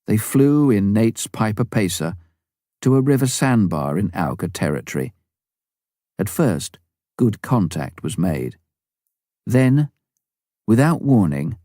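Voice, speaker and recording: A man reading an audiobook as scripted narration, with measured, clearly phrased delivery. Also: low-pitched, warm tone, no echo, clean studio sound. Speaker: audiobook reader.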